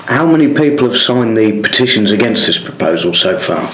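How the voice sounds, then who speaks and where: Radio reporter: English man, lowish voice, formal prepared questions, roomy sound. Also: animated, brisk, fairly bright tone, a little hiss or hum. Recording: echoey room.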